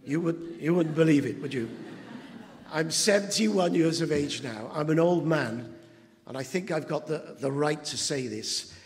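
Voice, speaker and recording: A man, preaching an anniversary sermon as pastor, halting with fillers and building to emphatic moments. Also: fairly high pitch. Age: 70s. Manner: slow, halting with many fillers, rising to emphatic. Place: church hall. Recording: long church reverb.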